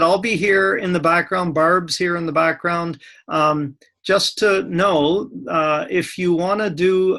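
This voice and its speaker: A man's voice: a little high, creaky, fairly bright tone. A man hosting a live online session, giving casual housekeeping notes with a joking tone. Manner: casual, joking about logistics. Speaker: course host. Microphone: video call.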